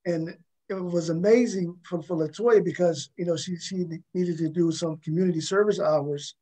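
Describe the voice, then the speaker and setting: Casting: man, slightly high voice, casual storyteller who stumbles and doubles back on his words. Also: fairly even intonation, mellow. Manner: halting, repeats words. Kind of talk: casual anecdotal conversation.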